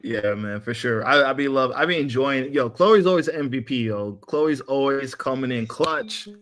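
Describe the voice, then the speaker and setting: A man's voice: medium pitch, normal speed, a bit bright. A man hosting a podcast in a casual group chat, talking in slangy, hype-man phrases. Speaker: podcast host.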